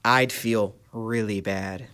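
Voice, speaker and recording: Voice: medium pitch, steady tone, slow pace, fairly bright tone. A performer playing an eager, good-hearted schoolboy in a puppet show, speaking in an earnest, boyish way. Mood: sheepish. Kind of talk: church puppet show dialogue.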